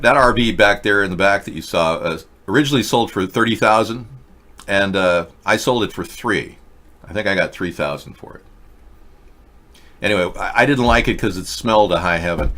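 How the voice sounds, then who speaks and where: Earnest man pitching ideas. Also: sharp tone. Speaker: host. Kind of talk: political commentary monologue.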